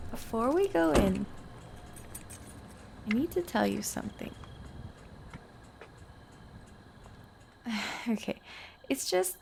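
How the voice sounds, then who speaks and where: A high-pitched young woman, played by a voice actress in an intimate audio roleplay. She is earnest and nervous, speaking slowly and hesitantly with a creaky edge, pleading to be believed. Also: darkish tone, full character voice. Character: nervous, earnest young woman introducing her ghost family to partner.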